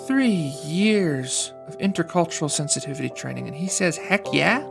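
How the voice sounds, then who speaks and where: An earnest, courteous starship captain, played by a male actor in an audio drama. His fairly high voice has a marked creak and a halting politeness, recorded dry with some music behind. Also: lively intonation. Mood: exasperated.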